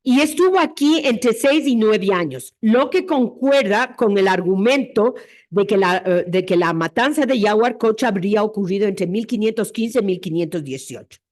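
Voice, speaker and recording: A woman's voice: mid-range voice, animated, moderate pace, a little mellow. A woman presenting an academic history lecture, explaining her reasoning step by step in a didactic way.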